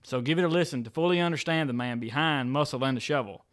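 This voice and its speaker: A man's voice: medium pitch, quick pace, a bit bright. A man, hosting a podcast and narrating a closing segment. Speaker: podcast host. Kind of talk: outro narration. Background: faint music.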